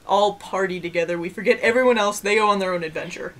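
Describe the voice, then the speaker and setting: A man's voice: high voice, fast talker, a bit bright. A young man co-hosting a casual rant, bantering in a blunt and sarcastic way. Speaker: review show co-host.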